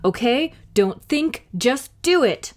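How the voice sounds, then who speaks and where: A woman voicing a hesitant but plucky young boy adventurer in a storybook, earnest and excitable. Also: high-pitched, takes it slowly, pitched above the performer's own voice. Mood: nervous resolve.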